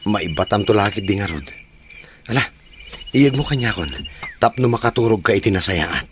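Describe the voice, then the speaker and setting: A man's voice: fairly low pitch, lively intonation, moderate pace, slight background noise, natural voice. A man playing a firm, eager young husband and father in a radio drama, with an Ilocano accent. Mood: caring. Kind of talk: Filipino radio drama acting. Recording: noticeable background ambience with birdsong.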